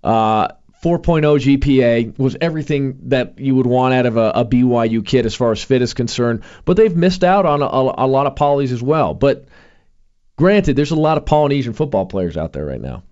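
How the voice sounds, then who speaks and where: A man's voice: medium pitch, lively intonation, fairly quick, darkish tone, muffled recording. A young man hosting sports talk, chatting casually with many fillers and restarts. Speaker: sports radio host.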